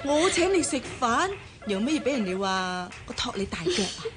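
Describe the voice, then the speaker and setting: An actress playing a gossipy, animated young office worker in a Hong Kong Cantonese radio drama. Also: female voice, on the high side, normal speed. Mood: teasing. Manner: animated, teasing, coy.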